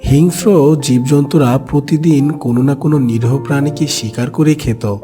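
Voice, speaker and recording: A man's voice: mid-range voice, fairly even intonation, normal speed, dark tone. Male storyteller over music. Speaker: narrator. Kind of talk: scripted children's story narration.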